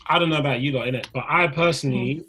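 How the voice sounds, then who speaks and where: A man's voice: medium pitch, racing, a bit bright, husky. Young guest, earnest, talks his point through. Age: young adult. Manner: earnest, builds his point with many fillers. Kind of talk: casual chat.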